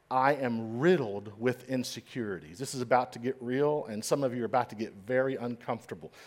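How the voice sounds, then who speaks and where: A man preaching a sermon in a church, dramatic and swinging between loud and hushed, with a slight stutter at times. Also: medium pitch. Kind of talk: sermon storytelling. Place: church stage.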